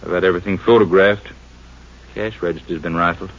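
A man's voice: low-pitched, steady tone, a little fast. A man acting a plain-spoken small-town Texas sheriff in a 1950s radio crime drama, folksy and laconic. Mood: wary, plain. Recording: muffled, noisy 1950s radio recording.

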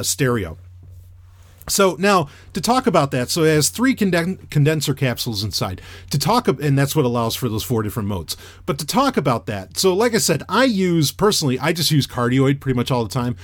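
A man with a loud, radio-trained voice, chatting casually as a podcaster reviewing a microphone. He rambles with many fillers and restarts. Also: on the high side, big pitch swings, a little fast, no room sound, clean studio sound.

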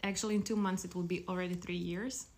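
A woman's voice: mid-range voice, fairly even intonation, quick pace, darkish tone. Woman, thirties, from Croatia, podcast guest, hesitant, lots of fillers. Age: 30s. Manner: hesitant, many fillers and restarts. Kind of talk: casual interview chat.

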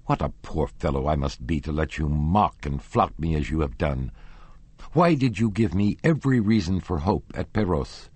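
A man's voice: deep voice, big pitch swings, normal speed, darkish tone, breathy edge, no room sound, exaggerated voice. A man reading the part of a jealous young nobleman, voice rising and accusing in heated outbursts. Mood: bitter. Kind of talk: audiobook character reading.

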